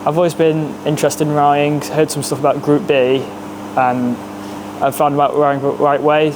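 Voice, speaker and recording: A man's voice: fairly high pitch, little pitch movement, normal speed. A nineteen-year-old man from Cheshire who answers briefly and unhurriedly. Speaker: student rally mechanic. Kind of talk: casual interview answers. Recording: noisy background hiss.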